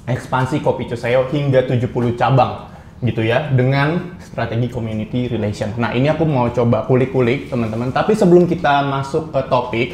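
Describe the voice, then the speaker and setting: A man with an Indonesian accent hosting a casual interview, chatty and quick to laugh. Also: medium pitch, darkish tone, reverberant, a little hiss or hum. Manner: chatty, lots of fillers, laughs often. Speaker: interview host, company CEO.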